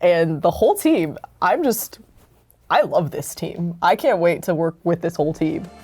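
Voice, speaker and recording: A woman with a slightly low voice talks as a creator in a casual interview. She is expressive and conversational, often dropping "like" into her sentences. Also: normal speed, slightly rough, soft background music. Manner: animated retelling with acted-out quotes, frequent "like". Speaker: creator of an audio drama.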